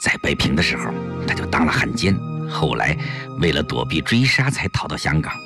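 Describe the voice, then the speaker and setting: A man's voice: very high voice, fairly even intonation, a little slow, music in the background, voice pushed up. A man voicing a spirited, crafty young wife in an audio storybook, with an emphatic, theatrical manner. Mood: hushed confiding.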